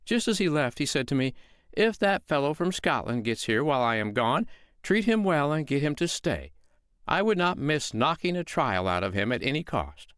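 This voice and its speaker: A calm, shrewd foster mother, played by a male storyteller, coaxes and teases in a sweetly sly tone. Her voice rises far above the teller's own, with swooping, lively pitch. The sound is dry and close. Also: moderate pace, clear, resonant tone, dry recording. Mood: coaxing.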